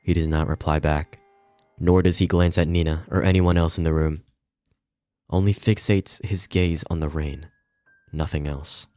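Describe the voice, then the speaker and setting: Deep-voiced man narrating a somber story. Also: steady tone, normal speed, dark tone, smooth, dry recording, faint music behind. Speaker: streamer reading a visual novel aloud. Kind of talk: dramatic scripted narration.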